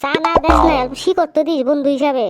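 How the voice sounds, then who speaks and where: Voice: sits high, fairly even intonation, quick pace, a loud crowd. A performer voicing a cocky, easily offended young friend in a comedy cartoon, whining and defiant in slangy speech. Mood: hurt, defiant.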